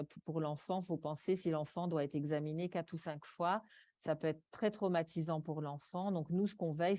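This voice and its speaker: A woman presents to a conference in a fairly low voice with a mellow, relaxed tone. Her delivery is explanatory, with hesitations, and the sound is dry. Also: dry recording. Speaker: presenter from an advocacy organisation. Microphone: microphone.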